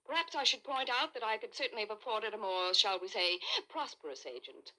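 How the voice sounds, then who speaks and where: An actress playing a wealthy, desperate and haughty wife in an old radio suspense drama, speaking with dramatic intensity. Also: female voice, mid-range voice, brisk, pushed and bright. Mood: haughty, guarded. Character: desperate, haughty wealthy wife narrating her own crime. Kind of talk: 1940s radio suspense acting, first-person narration. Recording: vintage radio recording with dramatic music behind.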